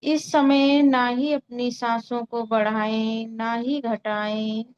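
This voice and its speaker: A woman leading a guided meditation in a calm, soothing way, giving unhurried instructions with long pauses. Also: on the high side, clean tone, highs cut off. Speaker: meditation guide and Reiki healer.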